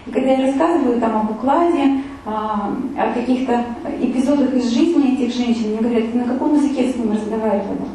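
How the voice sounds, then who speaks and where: A woman's voice: a little high, fast, mellow, slight background noise. A woman telling a travel story to an audience in a casual, chatty way, with many fillers and restarts. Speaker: speaker giving a travel talk with photos. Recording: echoey room, from far off.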